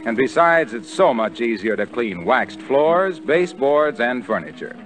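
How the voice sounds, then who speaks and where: A man's voice: mid-range voice, very animated, measured pace, soft background music. A man with an American accent announcing and reading scripted advertising on 1940s radio, polished and emphatic.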